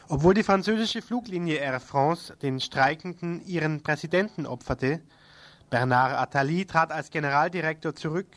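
A man's voice: a little high, fairly bright tone, dry recording. A man reading a scripted radio news report, with a critical, ironic edge in his wording.

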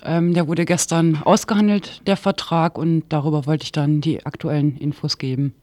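A man's voice: a little high, steady tone, normal speed, a little mellow, dry recording. A man presenting a scripted radio news report, now and then stumbling over words as he reads.

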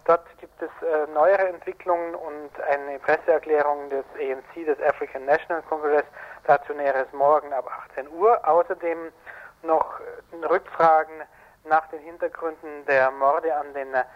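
A man giving a news preview as a radio reporter, speaking haltingly over a dull remote link. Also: fairly high pitch, fairly even intonation. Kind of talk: live news preview by link from a branch studio. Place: radio branch office, connected remotely.

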